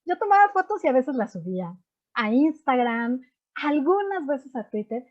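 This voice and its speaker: A woman in her thirties with a Mexican accent, talking through her teaching life in a relaxed, rambling way. Her voice sits a little high. The audio is dull and lo-fi, like a remote call. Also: moderate pace. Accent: Mexican Spanish. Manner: chatty, frequent fillers like "este" and "pues". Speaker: guest, chemistry teacher. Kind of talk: relaxed radio interview chat.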